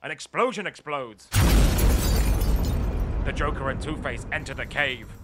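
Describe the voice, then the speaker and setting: A man playing the straight-faced narrator of an absurd superhero radio play, reading short declarations deadpan. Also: fairly high pitch, a little slow, very noisy, natural voice.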